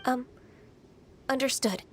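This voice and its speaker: A woman voicing a gentle, polite young immortal in training in a video game, speaking in an earnest, measured way. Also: high-pitched, slight background noise. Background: game music.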